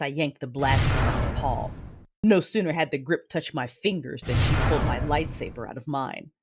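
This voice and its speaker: An actress playing a cruel, cold cyborg warrior mother in an audio drama, measured, menacing and commanding. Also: extremely low pitch, background noise. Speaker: narrator of own story in audio drama. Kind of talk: dramatic first-person narration and acting. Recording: music bed behind voice.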